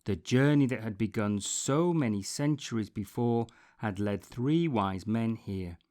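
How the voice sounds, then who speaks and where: Man reading a children's storybook, slow and expressive. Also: on the low side. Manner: deliberate, dramatic phrasing with pauses, repetition and emphasis. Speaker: storybook reader.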